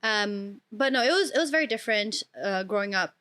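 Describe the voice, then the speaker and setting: A woman's voice: medium pitch, animated, fairly quick, sharp tone. A young woman with an international-school accent, chatting casually as a podcast guest with lots of filler words. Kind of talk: casual chat.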